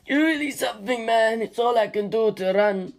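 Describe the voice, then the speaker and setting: A laid-back winged brother-in-arms played by a male reader in an adventure audiobook. His voice is pitched up and drawls its phrases in an easygoing way, though he is keen for battle. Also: normal speed. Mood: easygoing, admiring.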